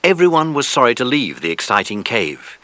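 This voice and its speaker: Male narrator, children's story, lively pitch, bright tone, dry close sound, expressive. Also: fairly quick, no room sound. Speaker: audiobook narrator.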